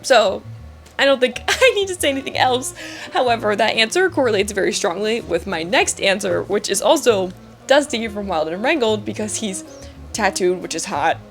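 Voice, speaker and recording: A woman's voice: medium pitch, lively intonation, moderate pace. A woman talks casually about books over music, in a mid-range voice. Her delivery is emphatic and gushing, with a giggly streak, like a vlogger chatting straight to her viewers. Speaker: book reviewer, vlogger.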